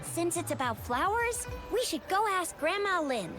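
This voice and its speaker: Spirited young heroine, high voice, bouncy intonation, theatrical and cheeky, video game dialogue. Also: female voice, very animated, measured pace, over music, heavily acted. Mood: cheerful.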